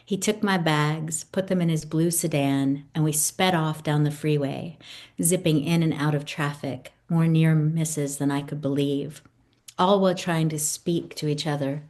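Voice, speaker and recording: A woman's voice: low-pitched, steady tone, unhurried, darkish tone. A woman in her fifties whose first language is Italian, a guest poet reading and discussing her own poems. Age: 50s. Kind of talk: poetry reading and conversation about own poems.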